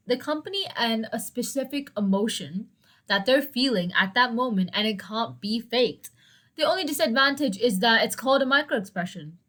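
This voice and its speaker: A young woman with a hoarse, dry voice hosting a solo educational podcast, chatty and prone to self-deprecating asides. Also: a little high, a bit bright. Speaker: podcast host.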